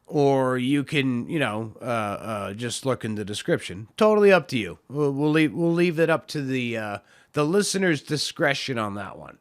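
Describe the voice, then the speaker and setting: Hoarse man rambling on a podcast. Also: mid-range voice, lively intonation, moderate pace. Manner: rambling, filler-heavy, self-deprecating. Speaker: podcast host. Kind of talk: casual solo podcast talk.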